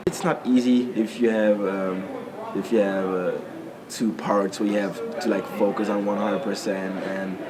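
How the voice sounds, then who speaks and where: A man's voice: on the low side, level tone, unhurried, over a noisy crowd. A man in his twenties from Germany answering interview questions, hesitant with many fillers and restarts. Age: 20s. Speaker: student athlete interviewee. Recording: noisy interview recording.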